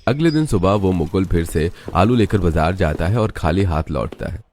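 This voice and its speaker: A man's voice: sits low, animated, normal speed, a little mellow. A man reading scripted narration for a moral story.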